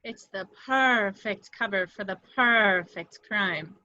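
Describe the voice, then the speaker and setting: A woman's voice: medium pitch, takes it slowly, sharp tone, dull audio. A woman acting a sultry, wicked and playful cat-burglar villainess with a teasing manner in an amateur script read. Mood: wicked, eager.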